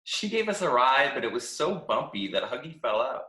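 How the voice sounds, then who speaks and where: Man playing Stick, an excitable, bright-voiced cheerful twig. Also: medium pitch, moderate pace. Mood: excited, naive. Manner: gushing, excitable, naive. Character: Stick, a cheerful, oblivious, adventure-loving talking stick. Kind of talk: animated children's storybook read-aloud. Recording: echoey room.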